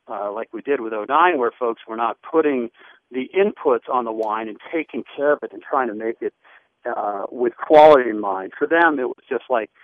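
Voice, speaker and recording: A man's voice: mid-range voice, no echo. A man in the wine trade answering interview questions in a loose, chatty way with many fillers. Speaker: wine business guest. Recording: phone-like radio line.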